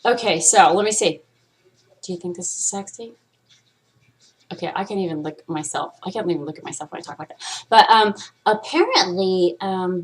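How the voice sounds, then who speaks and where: A woman talking straight to camera in a loose, rambling vlog chat. Her voice sits a touch low for a woman. She swears freely and keeps slipping into playful put-on voices. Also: gravelly. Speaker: vlog host.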